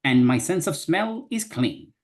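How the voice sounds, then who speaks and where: A man's voice: on the high side, very animated, unhurried. A man doing a gallant blustering fox knight. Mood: indignant. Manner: archaic chivalrous speech, blustering. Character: gallant little male fox knight, boastful and brave. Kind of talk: amateur dramatic reading. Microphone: video call.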